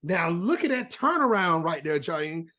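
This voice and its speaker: A man's voice: sits high, big pitch swings, measured pace, sharp tone. A man hosting an interview, asking questions and reacting with enthusiasm. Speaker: interview host.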